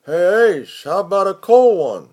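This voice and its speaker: A man's voice: high-pitched, big pitch swings, unhurried, a little mellow, voice pushed up. A man voicing a pushy, broke drinking acquaintance in a poem, with emphatic, slangy talk. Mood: pushy, familiar.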